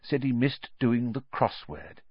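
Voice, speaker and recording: A man's voice: medium pitch, fairly even intonation, unhurried, husky, dry recording, dull audio, pitched above the performer's own voice. A man reading an audiobook and voicing a fussy, self-important middle-aged wizard minister who talks in a pompous, rambling way. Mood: evasive. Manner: pompous, anecdotal, then evasive.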